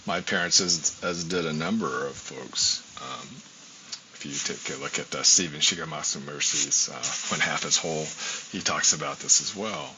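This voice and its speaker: A man's voice: a bass voice, sing-song, moderate pace, creaky. A man in his sixties, a guest scholar from the United States, telling his story in an interview in a reflective way, with many ums. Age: 60s. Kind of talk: interview conversation. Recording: dull lo-fi audio, faint hiss.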